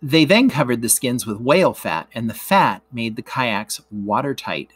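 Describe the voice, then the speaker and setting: A man's voice: mid-range voice, animated, normal speed, fairly bright tone, husky. Male narrator, mid-range voice, teacher reading scripted classroom material aloud.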